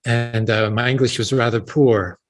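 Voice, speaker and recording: A man's voice: mid-range voice, steady tone, moderate pace, faint music behind. Older canadian guy, yiddish first language, lots of uh's, on a webcam from home. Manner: hesitant, many fillers, searching for words. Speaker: guest. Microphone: webcam on a video call.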